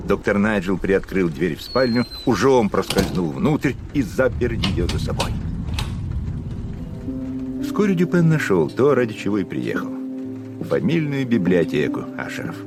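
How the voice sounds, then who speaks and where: A man with a very deep voice narrates a gothic radio drama in a measured, atmospheric way. Loud music carries beneath his words. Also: big pitch swings.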